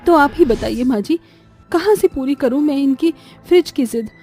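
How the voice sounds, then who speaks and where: Woman playing a high-voiced earnest poor mother. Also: animated, moderate pace, dark tone, soft background music. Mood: upset. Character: poor, hardworking, distressed young mother.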